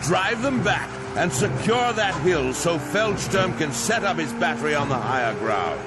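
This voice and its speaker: Commanding fantasy general, animated delivery. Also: male voice, a little high, very noisy. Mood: stern, commanding. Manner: formal, authoritative, measured. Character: commanding male Empire count and army general, grave and resolute. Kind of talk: fantasy war game acting. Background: music.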